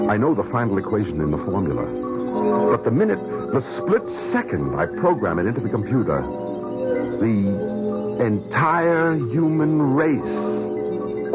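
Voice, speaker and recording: An actor playing an anguished, idealistic weapons scientist in a 1970s radio drama, earnest and emphatic, with a husky voice. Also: male voice, mid-range voice. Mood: anguished, defiant. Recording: dull vintage radio recording, faint music.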